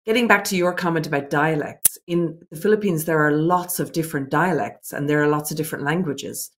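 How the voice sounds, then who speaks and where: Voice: medium pitch, a little fast, a little hiss or hum. A business owner answering interview questions earnestly and reflectively, working through ideas point by point.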